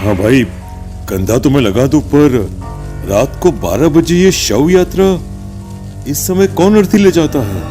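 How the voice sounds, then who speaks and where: Frightened cartoon traveller , male actor, fairly high voice, nervous and very animated, prominent music underneath. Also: measured pace. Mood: wary, puzzled. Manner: nervous, stammering. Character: frightened, stammering male traveller on a night road. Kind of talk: Hindi cartoon horror story acting.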